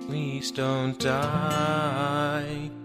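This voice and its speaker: A woman's voice: low voice, little pitch movement, very slow. A woman playing a tender, devoted colonel in a musical fan audio drama, pleading in song and speech. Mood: pleading, grieving. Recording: loud guitar music under the voice.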